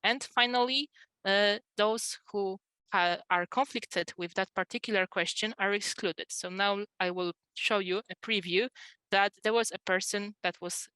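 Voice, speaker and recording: Female webinar presenter, middle-aged, bright forceful tone, dry close headset sound, explanatory and halting. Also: mid-range voice, smooth, no echo, poor audio. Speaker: presenter demonstrating software. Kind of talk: webinar software demonstration, explanatory. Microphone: headset on a video call.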